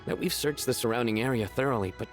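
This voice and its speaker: A man's voice: medium pitch, fast, over music, close to an everyday voice. A man voicing a weary but friendly city guard in an anime game, plain-spoken and concerned.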